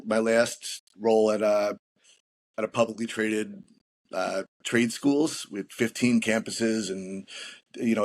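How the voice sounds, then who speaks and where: A man who is a guest on a business podcast, explaining his methods in a steady way while often repeating words as he restarts. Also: medium pitch. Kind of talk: interview answers on leadership.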